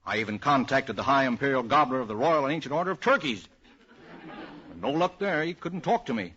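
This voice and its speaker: A man's voice: medium pitch, animated, a little fast, sharp tone. A man with an American accent playing a fretful, scheming character in 1940s radio comedy. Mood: anxious, furtive. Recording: muffled, noisy old radio recording.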